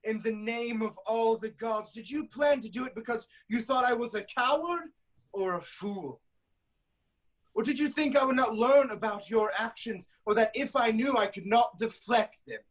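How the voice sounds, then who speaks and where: A man's voice: extremely high pitch, normal speed, rough recording. A man playing an angry, suspicious ancient Greek king in a declamatory, accusing stage tragedy reading.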